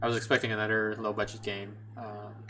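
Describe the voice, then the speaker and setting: A man's voice: fairly low pitch, completely flat delivery, fast talker. A man streaming a game, thinking aloud in a chatty way with many restarts. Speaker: gameplay streamer. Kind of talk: casual let's play commentary. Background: game music.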